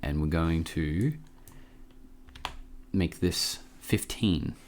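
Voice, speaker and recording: A man hosting a casual software tutorial, informal and a bit hesitant as he thinks aloud. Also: extremely low pitch, extremely slow, slight background noise. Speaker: tutorial host.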